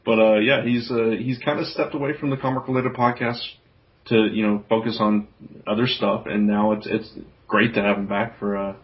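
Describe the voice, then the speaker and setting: A man's voice: on the low side, little pitch movement, moderate pace. Jovial man, dull lo-fi podcast. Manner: jovial, chuckles through sentences. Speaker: podcast host. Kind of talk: casual group chat. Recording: dull lo-fi audio.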